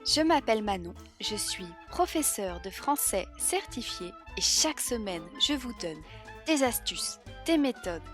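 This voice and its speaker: A woman, the host and a French teacher, giving a scripted podcast intro in an upbeat, energetic way. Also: mid-range voice.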